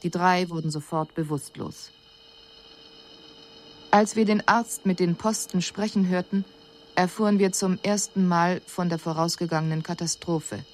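A woman acts a female alien observer in a radio drama, with a lowish voice. She reports formally and with detachment over faint background noise. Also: steady tone, lightly acted. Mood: detached, puzzled. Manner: measured, detached. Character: analytical alien envoy reporting on puzzling humans.

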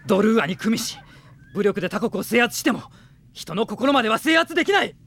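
Noble young rebel leader, radio drama, sincere and determined, slightly high register. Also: male voice, sing-song, brisk, fairly bright tone, exaggerated voice. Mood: impassioned appeal. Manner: sincere, resolute, courteous. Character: earnest young noble prince leading a rebel army. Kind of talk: Japanese radio drama acting.

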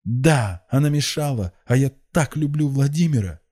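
A man's voice: mid-range voice, lively intonation, moderate pace, mellow, pitched above the performer's own voice, close to an everyday voice. A man voicing a frantic, lovesick young girl in an audiobook, jealous and defiant in impassioned exclamations. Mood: desperate, defiant.

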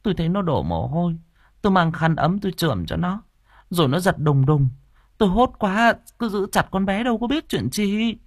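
A male narrator plays a panicked older woman in an audio storybook. The voice is pushed high and swings widely, stammering and repeating itself with protective, motherly urgency. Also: very animated, moderate pace, no room sound, studio quality, exaggerated voice. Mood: anxious. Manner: stammering, repeating words in alarm.

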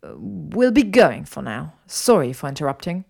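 Woman doing a smug young guy in an audiobook, low n drawly, bit creaky, easily stung. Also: very animated, moderate pace, a little mellow, full character voice. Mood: defiant. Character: cocky, easily stung young man, teasing lover. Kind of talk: fan fiction audiobook dialogue.

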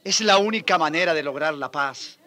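An actor playing a fiery, earnest older priest in a radio drama, with an emphatic, preaching manner. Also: male voice, fairly high pitch, moderate pace, bright, forceful tone. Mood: solemn, pleading.

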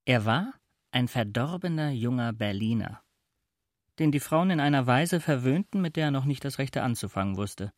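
Male narrator doing literary storytelling for a radio play, medium pitch, dry recording. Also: expressive, normal speed, no room sound.

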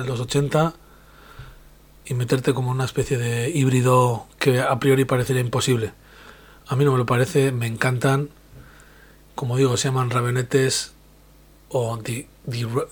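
A man hosting a radio music show, talking casually about bands, rambling and tripping over band names. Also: medium pitch.

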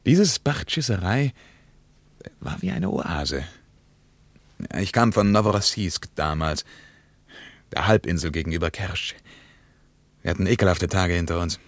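A middle-aged traveler, deep and animated, dry studio, radio drama storyteller. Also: male voice, unhurried, pauses often, no echo. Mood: insistent. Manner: lively, wistful, insistent. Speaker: travel companion. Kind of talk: radio play acting.

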